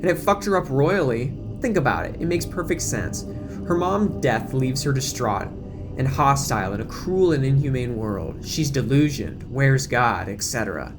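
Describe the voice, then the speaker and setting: A man's voice: mid-range voice, expressive, normal speed, natural voice. A man voicing a catty, cruel teenage girl gossip in an audio drama, snide and dismissive, with music behind.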